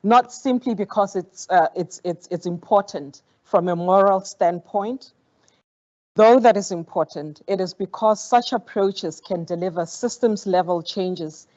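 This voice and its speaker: A woman whose first language is Setswana, giving a measured, formal conference speech as a foundation program director. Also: medium pitch, a little mellow, lo-fi sound. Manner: measured, formal, with occasional stumbles. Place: conference hall.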